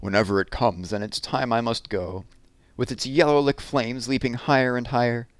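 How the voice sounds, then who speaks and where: A man's voice: mid-range voice, steady tone, normal speed, dry recording, voice pushed up, close to an everyday voice. Man reading as fretful, fearful talking snow. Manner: emphatic rhyming verse. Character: fretful personified snow afraid of melting.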